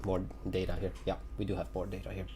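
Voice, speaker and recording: A man's voice: low voice, completely flat delivery, fast, background noise. A man giving casual livestream commentary on trading charts, hesitant and full of fillers, often repeating himself. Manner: hesitant, many fillers, repeats phrases, chuckles. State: voice getting raspy after a long stream. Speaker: crypto chart analyst on a livestream.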